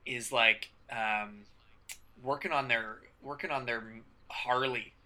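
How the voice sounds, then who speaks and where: A man co-hosting an improv podcast, chatting casually, riffing on examples with animated emphasis and the odd swear. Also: mid-range voice, takes it slowly, rough recording. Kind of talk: casual instructional chat.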